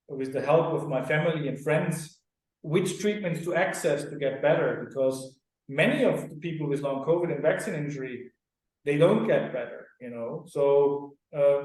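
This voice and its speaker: A man's voice: a little high, a little mellow. A middle-aged man from Austria, a guest on a radio interview, talking earnestly and at length, often checking in with "right?". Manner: earnest, explanatory, often tags sentences with "right?". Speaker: clinic director and co-founder. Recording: very echoey, distant sound.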